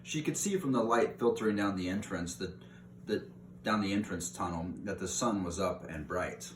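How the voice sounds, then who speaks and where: Roomy, distant low-voiced man reading aloud. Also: moderate pace. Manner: informal, corrects himself and rereads stumbled phrases. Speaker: read-aloud host. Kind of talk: reading a children's novel aloud with casual asides. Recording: roomy echo, distant mic, faint noise.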